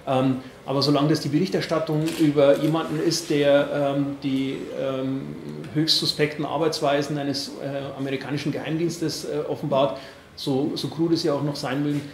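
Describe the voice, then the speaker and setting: A man in his forties with an Upper Palatinate accent, answering questions at a press conference in a halting way, with many fillers and restarts. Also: a little high, little pitch movement, reverberant, slight background noise. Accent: Upper Palatinate, Franconian-tinged. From Bavaria, Upper Palatinate. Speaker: party board member at press conference.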